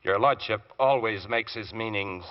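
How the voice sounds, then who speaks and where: An actor playing an intense, probing defense barrister in an old radio courtroom drama. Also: male voice, fairly low pitch, normal speed, lightly acted. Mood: pressing, agitated. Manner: probing, insistent questioning. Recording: dull vintage radio recording.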